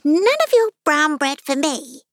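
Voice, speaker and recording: A woman's voice: high-pitched, very animated, measured pace, fairly bright tone, no room sound, clean studio sound, voice pushed up, heavily acted. A storybook unicorn, played by a woman, bold and imperious. The voice sits well above everyday talk and swings widely. It speaks emphatically, with very little room sound. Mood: bossy.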